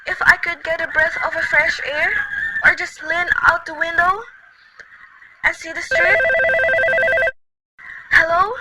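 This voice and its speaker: A woman's voice: very high-pitched, steady tone, unhurried, long pauses. A woman voicing a nervous bedridden invalid, frantic and complaining, near tears. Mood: anxious. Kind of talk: student radio play reading. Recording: loud background noise.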